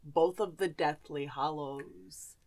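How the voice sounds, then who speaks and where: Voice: medium pitch, fairly even intonation, slow, gravelly, a little mellow. A woman podcast host chatting casually and informally about films and actors, with some slang.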